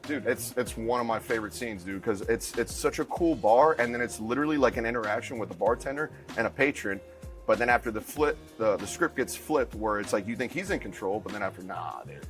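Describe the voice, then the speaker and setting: A man's voice: medium pitch, lively intonation, fairly quick. A man from Miami, a bartender and bar co-owner, chatting in an interview in a laid-back, slangy way. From Miami, United States. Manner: laid-back, slangy, says dude and bro. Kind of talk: casual interview chat. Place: inside a bar. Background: music.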